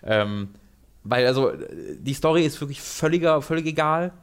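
A young man talking about anime and video games in a casual podcast chat, animated and rambling with many restarts. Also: on the high side, moderate pace, clear, resonant tone. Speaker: podcast co-host.

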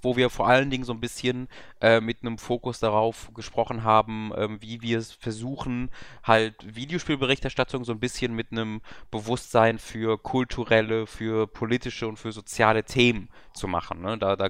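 A man's voice: mid-range voice, fairly bright tone, clear, resonant tone, no echo, clean studio sound. A young man with a cold, chatting on a podcast from a remote voice call, rambling and self-deprecating with many filler sounds. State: has a cold. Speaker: podcast co-host. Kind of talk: casual podcast chat. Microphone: remote over voice chat.

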